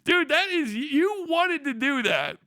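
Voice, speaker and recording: High-pitched, bright-voiced sarcastic male streamer. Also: very animated, takes it slowly, no room sound, clean studio sound. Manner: sarcastic, incredulous, profane; emphatic, repeats phrases for effect. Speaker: livestream host. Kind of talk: casual commentary to a live audience.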